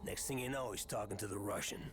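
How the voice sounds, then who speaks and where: An actor playing a blunt, foul-mouthed American special forces soldier in a military game. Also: male voice, fairly low pitch, fast talker, noisy background, close to an everyday voice. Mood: grim recollection. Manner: blunt, swearing, combative. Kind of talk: cinematic military game acting.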